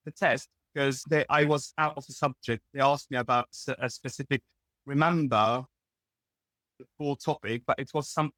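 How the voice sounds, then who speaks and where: A young Lebanese man speaks English as a second language, with Arabic as his first, in a medium-pitched voice. He talks candidly and often breaks off to rephrase. The sound is dry and close, with no room echo. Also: a bit bright. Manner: candid, restarts and self-corrections. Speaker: guest, pharmacist. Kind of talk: casual interview talk.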